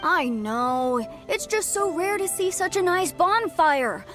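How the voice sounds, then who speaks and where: An eager, high-voiced young woman. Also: animated, slow, over music, heavily acted. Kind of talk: game dialogue.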